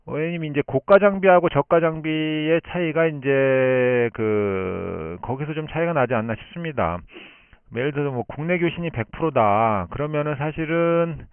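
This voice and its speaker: A man speaking as an amateur radio operator in long, unscripted explanations, thinking aloud with pauses, over a band-limited shortwave link. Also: medium pitch, clear, resonant tone, dry recording, phone-quality sound.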